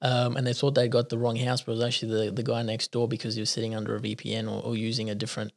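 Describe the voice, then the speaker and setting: A man's voice: mid-range voice, completely flat delivery, rapid-fire, gravelly. A man from South Africa whose first language is Italian, chatting as a podcast guest in a casual, rambling way, often laughing at himself. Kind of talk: casual conversational storytelling.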